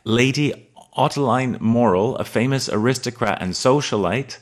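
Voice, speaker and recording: A man hosting a podcast for advanced English learners, reading a scripted episode in a measured, articulate way. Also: mid-range voice. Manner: measured, articulate, precise delivery.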